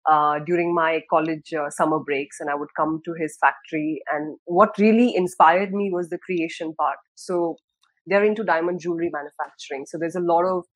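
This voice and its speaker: A woman in her thirties from Dubai talks about her career on a podcast. Her speech is relaxed and reflective, full of small pauses. The recording is dry and close, with no room sound. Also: sits low, dry recording, poor audio. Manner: thoughtful, many fillers and restarts. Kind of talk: casual interview talk.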